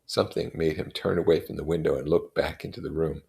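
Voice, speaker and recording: A man reading literary prose aloud as an expressive narrator. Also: very deep voice, quick pace, vocal fry. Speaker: reader.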